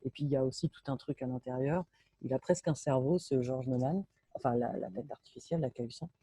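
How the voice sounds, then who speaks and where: A woman speaks over a video call, her voice mellow and warm, recorded dry and close with no room around it. She talks shop with enthusiasm, hesitating and restarting as she goes. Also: very deep voice, quick pace, slightly rough, no room sound. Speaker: binaural sound engineer, guest speaker. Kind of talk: casual technical presentation. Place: home, in Brittany.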